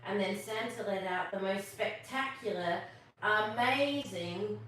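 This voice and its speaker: A woman reading a children's story aloud as host, expressive, drawing out her words. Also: medium pitch. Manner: expressive, drawn-out words, questions to listeners. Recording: very echoey, distant mic, faint noise.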